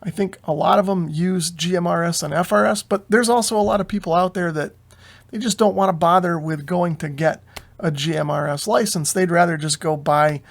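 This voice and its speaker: An American man hosting an informal explainer, talking in a measured, explanatory way as a hobbyist giving his own take. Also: sits high, vocal fry. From United States. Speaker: video host, amateur radio hobbyist. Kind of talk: informal explainer commentary on radio news.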